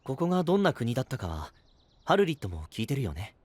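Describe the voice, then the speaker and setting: A male actor playing a gentle, responsible young knight in an audio drama, soft-spoken and earnest. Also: medium pitch, huge pitch swings, quick pace, breathy edge, no echo. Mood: calm, reflective. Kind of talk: Japanese anime-style audio drama acting.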